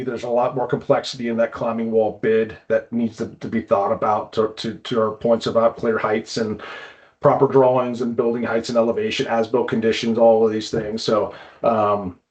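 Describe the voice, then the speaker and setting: A man's voice: mid-range voice, steady tone, fairly quick, a little mellow, poor audio. A man hosting a casual explanatory podcast, feeling his way through points and often repeating words. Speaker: host, climbing wall builder.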